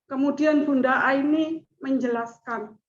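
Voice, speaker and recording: A middle-aged woman speaks to her class in a high, unhurried voice, each phrase measured and deliberate. She presents formally as a student. The audio is dull and lo-fi. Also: steady tone. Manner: slow, measured speech with chanted recitation.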